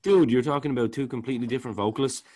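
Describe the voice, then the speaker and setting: A man with a baritone voice, talking casually as a podcast co-host and rambling, often repeating words while he searches for them. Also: racing, warm tone. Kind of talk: casual music review chat.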